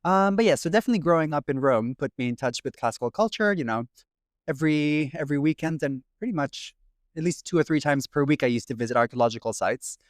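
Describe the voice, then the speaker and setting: A man's voice: on the high side, expressive, fast, dry recording, studio quality. A young man with an American accent who grew up in Italy, answering interview questions as a classics researcher, fluent with frequent ums. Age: young adult. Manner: talks fluently with frequent ums and sort ofs. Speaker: guest, doctoral researcher in classics.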